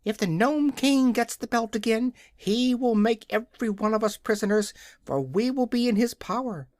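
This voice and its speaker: Guy doing a bossy lady chicken from a storybook, high shrill scolding voice, super emphatic. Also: moderate pace, darkish tone, no echo, clean studio sound. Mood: alarmed, warning. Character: bossy, outspoken female talking hen.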